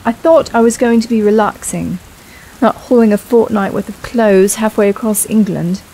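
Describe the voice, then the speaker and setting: A woman's voice: mid-range voice, normal speed, mellow, a little hiss or hum, natural voice, poor audio. A woman playing a weary, irritable young woman traveller in an audio drama, sighing and sarcastic. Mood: exasperated, sarcastic. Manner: exasperated, sarcastic sighing delivery.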